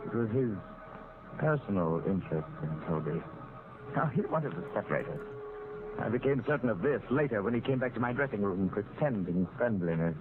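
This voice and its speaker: A man's voice: on the low side, expressive, brisk, lightly acted. A man playing an anxious, resentful ventriloquist in an old radio drama, formal, agitated and defensive. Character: anxious, resentful male ventriloquist recounting his downfall. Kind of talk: old-time radio drama acting and narration. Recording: tinny, hissy vintage radio recording.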